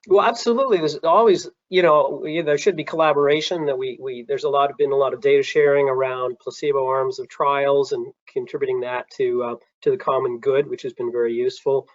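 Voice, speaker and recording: Halting man on a dry, muffled video call. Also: medium pitch, fairly even intonation, no room sound. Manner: halting, many restarts and fillers. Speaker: panelist. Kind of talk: panel discussion.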